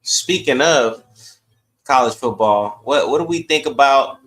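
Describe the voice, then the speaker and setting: A man's voice: mid-range voice, expressive, measured pace, a bit bright, rough recording. A man co-hosting a podcast, chatting casually about sports in a conversational way. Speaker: sports talk podcast co-host.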